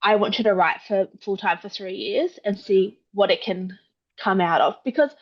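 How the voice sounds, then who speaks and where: An Australian woman in her young adult years talks through her story in loose, conversational chat. She strings thoughts together with fillers and quick quoted asides. The audio is a little dull and lo-fi. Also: mid-range voice. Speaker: guest author. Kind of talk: casual interview chat.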